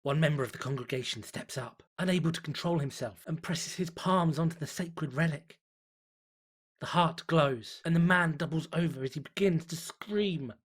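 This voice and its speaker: A man voicing a fanatical cult diarist, reverent and zealous, in a solemn, deliberate horror story narration. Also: fairly high pitch, breathy edge. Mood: rapt, zealous.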